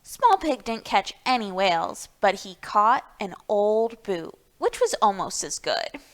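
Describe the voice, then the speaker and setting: A woman's voice: medium pitch, big pitch swings, a little slow. A woman hosting a library storytime, talking to young children and reading picture books aloud with questions and emphasis.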